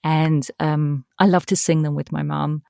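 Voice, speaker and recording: A woman's voice: low-pitched, flat delivery, measured pace, vocal fry, darkish tone, smooth, no room sound. Middle-aged English woman, close dry sound. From East Anglia, England. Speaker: podcast guest, voice actor. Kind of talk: casual interview conversation.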